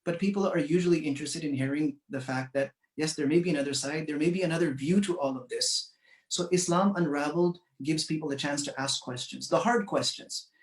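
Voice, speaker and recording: A man from Canada giving an earnest, measured commemorative speech, pausing often with fillers. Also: a little high, roomy sound, distant mic. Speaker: community speaker.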